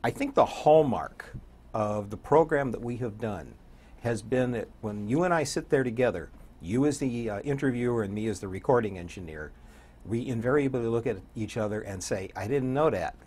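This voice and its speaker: An older man around seventy, a radio DJ chatting as an interview guest in a folksy, wry way with a husky voice. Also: medium pitch, animated, moderate pace, darkish tone. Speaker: guest, radio program director and DJ. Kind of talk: casual interview chat. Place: TV studio set, seated.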